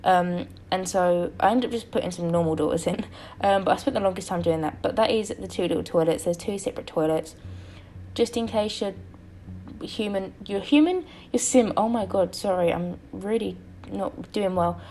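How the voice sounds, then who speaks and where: A young woman, tired and a little croaky, rambling through a casual voice-over about a building game. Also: mid-range voice, moderate pace, warm tone, slight background noise. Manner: rambling, self-correcting, apologetic.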